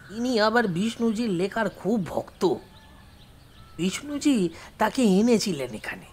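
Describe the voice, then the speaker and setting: A woman voicing a bossy, talkative caretaker man with a husky, emphatic delivery in an audio story drama. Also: medium pitch, a little hiss or hum, pitched below the performer's own voice. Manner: commanding, scolding, emphatic.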